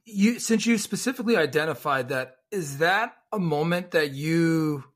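A man's voice: on the high side, lively intonation, normal speed. A man hosting a podcast interview, asking friendly, rambling questions with fillers.

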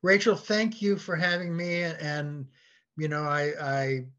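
A man speaking as a podcast guest in a halting, conversational way, often repeating words as he goes. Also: on the high side, a bit bright, dull audio. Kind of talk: conversational discussion.

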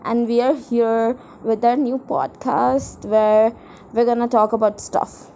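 A woman's voice: fairly high pitch, little pitch movement, slow pace, dark tone, a little hiss or hum. A young adult woman with an Indian accent, co-hosting a podcast in a casual, rambling way, with a self-mocking, dramatic, irreverent manner. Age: young adult, college student. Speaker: podcast co-host.

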